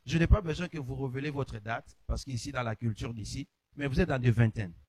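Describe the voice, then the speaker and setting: A man's voice: medium pitch, fairly quick, darkish tone, breathy edge, no echo. Guy hosting a gospel chat show, lots of amens, dry mic. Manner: affirming interjections, amen and hmm. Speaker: host.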